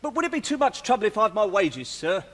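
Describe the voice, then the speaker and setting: An actor playing a timid, polite clerk in a musical radio drama, hesitant and apologetic, in a reverberant space. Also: male voice, sits high, big pitch swings, quick pace, fairly bright tone. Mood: timid, deferential. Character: meek, polite, timid male clerk, humbly deferential.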